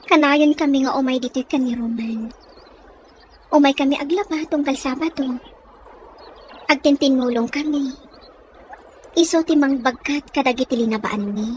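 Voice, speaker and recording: Wistful, dutiful Ilocano Filipina daughter played by a woman, very high voice, audio drama, plaintive delivery, noisy ambience. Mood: longing. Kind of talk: audio comedy-drama acting.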